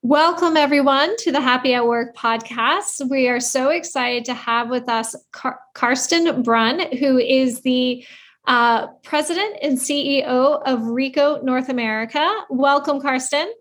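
Woman, podcast host, high-pitched, upbeat, welcoming. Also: a little slow, fairly bright tone. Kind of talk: podcast interview hosting.

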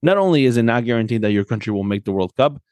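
A man's voice: medium pitch, animated, rapid-fire, darkish tone, no echo, studio quality. A man in a casual sports discussion, co-hosting as a coach and often checking in for agreement. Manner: conversational, often seeks agreement with tag questions. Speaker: podcast host and soccer coach.

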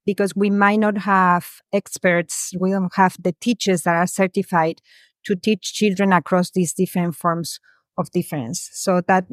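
A woman from Spain, a researcher answering interview questions in a measured, thoughtful way, pausing often. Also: lowish voice, no room sound, studio quality. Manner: measured, thoughtful, frequent "um" pauses. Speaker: guest, education researcher.

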